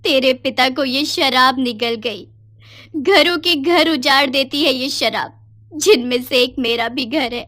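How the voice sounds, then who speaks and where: A woman playing a long-suffering, devoted poor mother in a melodramatic animated story. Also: high voice, unhurried. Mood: sorrowful.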